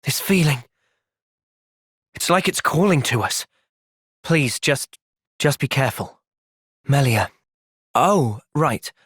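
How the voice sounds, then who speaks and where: An actor playing an earnest, thoughtful young soldier in a video game, soft-spoken and sincere but resolute. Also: male voice, mid-range voice, expressive, slow, pauses often, slightly rough, no echo, clean studio sound, heavily acted. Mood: calm, thoughtful. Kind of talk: video game cutscene acting.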